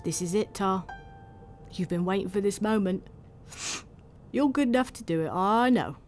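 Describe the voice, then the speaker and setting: A woman's voice: medium pitch, normal speed, darkish tone, soft background music, lightly acted. Woman as an encouraging, sly Santa trainer. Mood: proud, encouraging.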